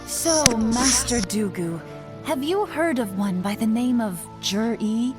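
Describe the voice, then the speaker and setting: Calm undercover investigator. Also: female voice, a little high, slow, loud music behind the voice. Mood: mock-formal. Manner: polite and composed, sometimes mock-formal. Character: young woman investigator, calm and polite, posing under cover. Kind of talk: video game voice acting.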